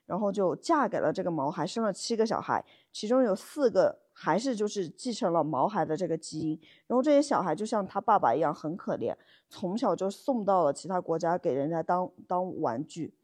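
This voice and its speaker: A woman's voice: on the low side, expressive, fairly quick, a little mellow. A woman co-hosting a podcast, telling stories casually with colloquial asides and rhetorical questions. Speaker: podcast co-host. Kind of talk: casual conversational storytelling.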